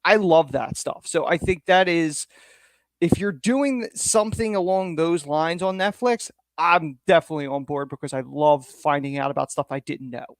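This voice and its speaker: A man's voice: a little high, moderate pace, dry recording. A man co-hosting a casual wrestling podcast, thinking aloud in an earnest way, with frequent fillers. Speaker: podcast co-host. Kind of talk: casual opinion chat.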